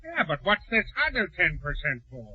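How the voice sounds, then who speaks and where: A male actor playing an excitable, dim but suspicious client who haggles and protests in old-time radio comedy. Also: on the high side, moderate pace, highs cut off. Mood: suspicious, protesting.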